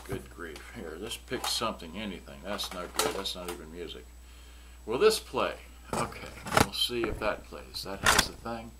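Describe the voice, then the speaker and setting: A man with a fairly low voice talks through an unscripted product demonstration. He rambles and mutters to himself as he works. The recording is very noisy, made indoors at a workbench. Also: lively intonation, normal speed. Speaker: product reviewer and seller demonstrating vintage electronics. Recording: noisy room recording with clicking sounds.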